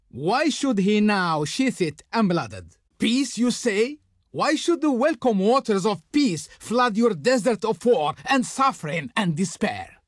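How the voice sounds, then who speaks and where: High-pitched, pompous Egyptian royal envoy. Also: male voice, no room sound, clean studio sound, heavily acted. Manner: pompous, declamatory. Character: haughty, flowery Egyptian royal envoy of the Pharaoh. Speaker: game voice actor playing a diplomat. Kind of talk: theatrical scripted game dialogue.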